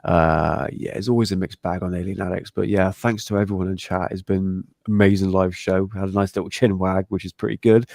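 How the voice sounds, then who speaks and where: A man's voice: low-pitched, fairly even intonation, a little fast, creaky, few pauses, darkish tone, dry recording. A man co-hosting a casual live-stream chat, sounding congested and talking in a laid-back, friendly way. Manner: laid-back, friendly, calls the other host mate. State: congested, blocked nose. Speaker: podcast co-host.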